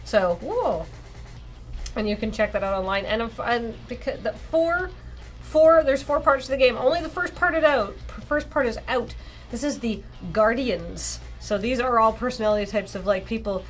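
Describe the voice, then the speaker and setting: A woman's voice: on the high side, normal speed, faint music behind. A woman co-hosting a game stream, chatting casually and reading on-screen text aloud. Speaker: streamer and co-host.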